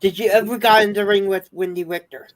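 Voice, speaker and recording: A woman's voice: mid-range voice, fairly even intonation, fast. A woman hosts a podcast interview and puts her questions to the guest directly. She sounds curious and keeps her questions short. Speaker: podcast host.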